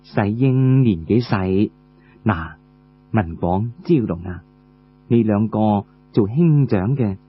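A man voicing a kindly elderly matriarch who dotes on the young, slow and coaxing, with many pauses. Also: on the low side, expressive, dull audio, slight background noise, pitched below the performer's own voice. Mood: tender, doting.

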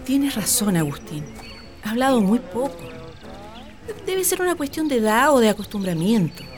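A genteel, middle-aged hacienda mistress in a radio drama. Her pitch rises and falls freely, and she is easygoing. Faint music plays behind her. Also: sing-song, normal speed. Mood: concerned.

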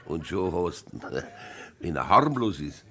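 A smug, suspicious pub know-it-all from a radio crime play. His low Bavarian voice moves animatedly as he explains things with knowing certainty. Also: very animated, takes it slowly, sharp tone, exaggerated voice.

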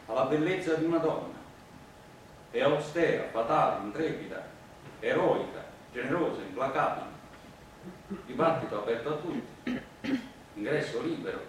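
An actor playing a proud, defiant Italian immigrant worker in a theatrical drama, speaking with an Italian accent. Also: male voice, medium pitch, close to an everyday voice. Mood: solemn, declamatory. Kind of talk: theatrical declamation and courtroom testimony. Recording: very echoey, far-off mic, noisy stage recording.

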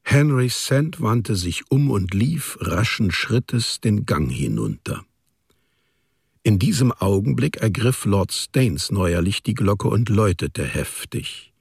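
A man narrates a radio crime drama in a low voice with a husky grain. The delivery is scripted and literary, recorded dry. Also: no echo.

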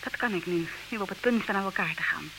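An actress playing a proud, disillusioned wife in an old radio play, speaking in measured, reproachful, theatrical diction. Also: female voice, medium pitch, close to an everyday voice. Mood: pained, wistful. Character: disillusioned, proud wife leaving her clever husband. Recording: hissy old radio recording, clear noise.

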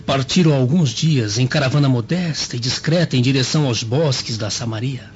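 An actor playing a discreet, matter-of-fact Roman informant in a radio drama. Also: male voice, mid-range voice, fairly quick, lightly acted. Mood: confiding. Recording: dull lo-fi audio with music behind.

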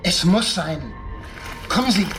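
A man's voice: high-pitched, very animated, unhurried, music in the background, heavily acted. An actor playing Milton, a male survivor who takes charge firmly, in a radio drama. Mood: determined. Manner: firm, commanding.

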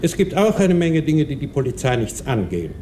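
An actor playing a haughty, wealthy older shipyard owner in a 1960s German radio play, formal, imperious and dismissive. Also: male voice, fairly high pitch, very animated, brisk, noisy background. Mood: cold, dismissive.

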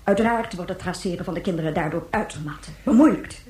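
An actress playing a grave, controlled government spokeswoman in a radio drama, speaking formally and with measured emphasis. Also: on the low side, a little mellow, lightly acted. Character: grave, controlled female government official facing a press interview. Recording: roomy, echoing radio drama recording.

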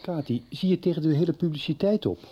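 A man playing a calm, reassuring middle-aged friend in a radio soap. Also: on the high side, fast, slightly rough, dull audio.

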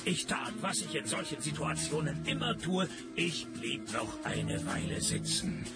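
A man playing a dry, world-weary private detective in a radio play, with a deadpan, sardonic delivery. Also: fairly high pitch, measured pace, creaky, over loud music. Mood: dry, resigned. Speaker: detective and first-person narrator. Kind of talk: radio play dialogue and noir-style narration.

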